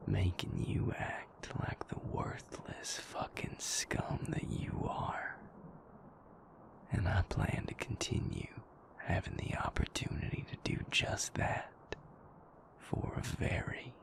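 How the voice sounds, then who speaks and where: A man playing a whispering, gravelly vampire king. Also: low-pitched, takes it slowly, exaggerated voice. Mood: taunting. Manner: menacing taunting whisper. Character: cruel vampire, former male king, sadistic captor. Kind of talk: audio roleplay drama.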